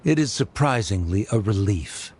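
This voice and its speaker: A man voicing a haughty, aloof scholarly father in a video game, pompous and deliberate. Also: lowish voice, expressive, darkish tone, slightly rough. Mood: disdainful, relieved.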